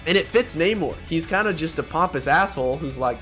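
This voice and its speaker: A man's voice: a little high, lively intonation, a little fast, bright, forceful tone, faint music behind. A young man doing casual, opinionated gaming commentary, talking in profane slang and exclaiming as he ranks things. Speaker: gaming video host. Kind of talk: casual tier-list commentary.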